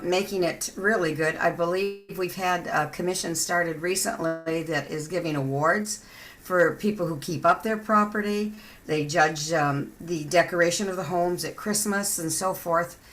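A female council candidate answering at a public forum, listing her points in an orderly, measured way. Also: lowish voice, fairly bright tone.

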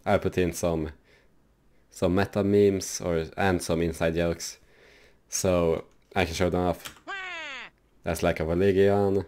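Low-voiced man rambling through a tutorial. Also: little pitch movement, slow pace, pauses often, warm tone. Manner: rambling, loose, many fillers. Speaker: gaming channel host. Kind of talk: casual software tutorial.

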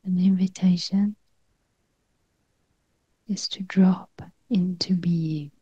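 A woman leading a guided meditation in a gentle near-whisper, with short phrases and long pauses. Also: on the low side, steady tone, husky, no echo, lo-fi sound.

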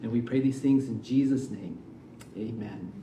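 A middle-aged man, a missionary, giving a sermon and prayer in a church, soft-spoken and halting with many fillers. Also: medium pitch, slight background noise. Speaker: missionary preacher. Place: church sanctuary, medium-sized. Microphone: stand mic from a distance. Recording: roomy church sound, mic far off.

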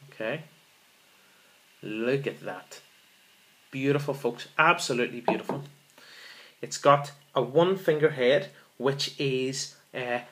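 Guy in his 30s doing a beer review at home, keen, keeps saying folks, mid pitched. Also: a bit bright. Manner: enthusiastic, repeats words, calls viewers folks. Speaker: beer reviewer, channel host. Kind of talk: casual beer review vlog. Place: small room indoors.

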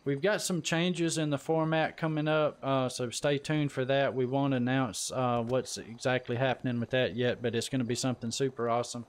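A man's voice: medium pitch, fairly even intonation, normal speed, few pauses. Male gaming stream host, folksy and casual, mid-range voice, chatting to viewers and crew over voice chat. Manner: folksy, says y'all. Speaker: livestream host. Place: voice chat during an online game stream.

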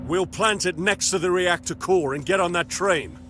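An actor playing a cynical, terse space marine mercenary in a video game. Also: male voice, high voice, moderate pace, noisy background, close to an everyday voice. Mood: urgent. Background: game music.

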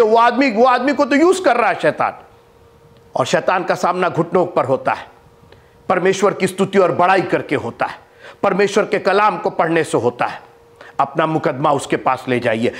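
High-voiced preacher man, swinging loud and hushed. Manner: animated, swings between loud emphatic lines and low hushed ones. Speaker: bishop and preacher. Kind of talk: Hindi sermon to camera. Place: studio with green-screen backdrop. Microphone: lapel mic.

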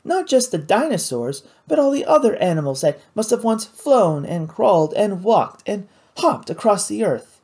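An audiobook reader voicing a wealthy, eager, well-meaning young woman who is earnest and quick to take offence at unfairness. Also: medium pitch, sing-song, a little mellow, husky, pitched above the performer's own voice. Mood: enthusiastic.

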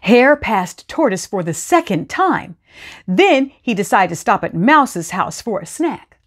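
A middle-aged woman hosting storytime, reading aloud to children in an expressive, deliberate, teacherly way. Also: medium pitch. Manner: animated, deliberate teacherly delivery. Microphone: lapel mic.

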